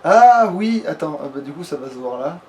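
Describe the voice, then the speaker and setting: Male gamer, high voice, crude slangy chat, noisy recording. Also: lively intonation, normal speed, a little mellow. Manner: crude, slangy, swearing freely. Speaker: let's play gamer. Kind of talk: casual gaming commentary. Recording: clearly noisy home recording.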